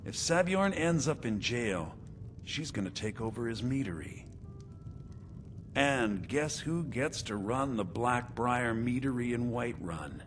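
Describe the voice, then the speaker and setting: An actor playing a bitter, scheming conspirator in a video game, talking in a sly, confiding way. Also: male voice, medium pitch, expressive, a little slow, natural voice. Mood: smug, scheming. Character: bitter, scheming man, conspirator in a mead-poisoning plot. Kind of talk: video game character dialogue. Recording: game music behind the voice. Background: game music.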